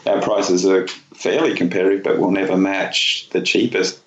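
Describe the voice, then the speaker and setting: A low-pitched man talks as a guest in a casual interview. He explains things in a matter-of-fact way with plenty of creak in his voice. The sound is dull and echoes around the room. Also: level tone, moderate pace, slight background noise. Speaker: company founder being interviewed as a guest.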